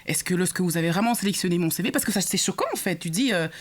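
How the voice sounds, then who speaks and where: Young woman telling a story, halting. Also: on the low side. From Congo. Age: young adult. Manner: animated storytelling, many hesitations and restarts. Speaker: guest sharing her experience. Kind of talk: podcast conversation.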